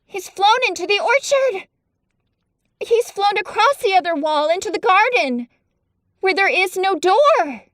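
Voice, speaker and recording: A woman voicing a sharp, curious young girl with a high, eager, exclaiming voice. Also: sing-song, unhurried, few pauses, dry recording, studio quality, exaggerated voice. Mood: excited.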